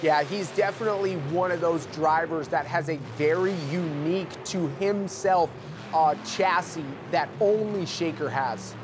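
A man doing excitable color commentary at a motorsport show, urging the drivers on. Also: a little high, measured pace, music in the background. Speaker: sports commentator. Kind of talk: arena sports commentary.

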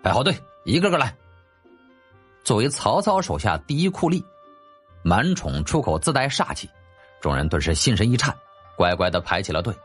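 A man reads an audiobook as dramatic storytelling. His voice sits fairly low and has a husky texture. His intonation swings widely through the scripted narration. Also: big pitch swings, normal speed, soft background music.

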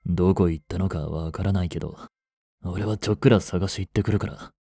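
A man's voice: deep voice, fairly even intonation, a little fast, mellow, dry recording, studio quality. An actor playing a blunt, bossy vampire older brother in a Japanese romance voice drama, scolding as he holds back his hunger. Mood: flustered, restrained. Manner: blunt, rough-spoken, bossy.

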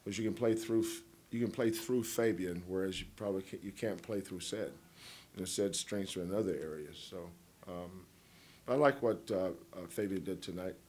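A man's voice: deep voice, normal speed, darkish tone, slight background noise. A man, a head basketball coach, answering questions at a postgame press conference in a folksy, rambling way, full of homespun analogies and teasing.

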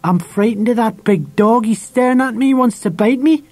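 A man's voice: very high-pitched, moderate pace, a little mellow, no room sound, pitched above the performer's own voice. A man voicing a spiteful, clingy little girl in a storybook, whining and taunting. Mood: frightened, whining.

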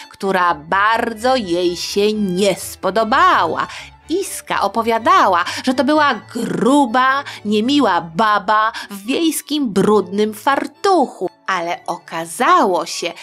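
A woman telling a story for young listeners in a playful, conversational, expressive way. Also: mid-range voice. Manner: playful, conversational, uses diminutives.

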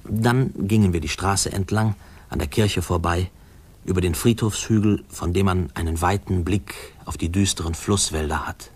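An actor playing a man who fondly remembers his boyhood, narrating a 1950s radio play in a husky, confiding, measured way. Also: low voice, darkish tone, slight background noise, close to an everyday voice. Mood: confiding, reminiscent.

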